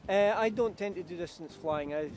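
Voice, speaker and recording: A middle-aged man from Kenya, a paragliding instructor, chatting with self-assured quick asides outdoors by a beach. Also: high voice. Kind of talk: casual chat to camera outdoors. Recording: outdoor wind and traffic noise.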